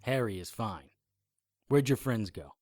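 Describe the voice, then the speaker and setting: A tough, wisecracking monster hunter narrates an audio drama in a low register. The delivery is dry, wry and noir, with punchy phrasing. Music plays clearly behind the voice. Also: male voice, expressive, a little slow, a little mellow. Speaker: lead actor narrating in first person. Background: music bed under the voice.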